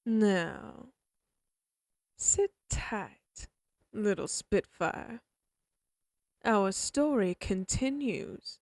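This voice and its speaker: A woman giving a scripted, deliberate introduction to an audio series, speaking directly to her listeners. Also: mid-range voice, expressive, a little mellow, dry recording, clean studio sound. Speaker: series creator and voice actor.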